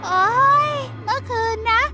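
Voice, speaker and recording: A woman's voice: measured pace, close to an everyday voice. A woman playing a sensible, fussy schoolgirl in a cartoon dub. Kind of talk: Thai cartoon dubbing. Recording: loud music behind.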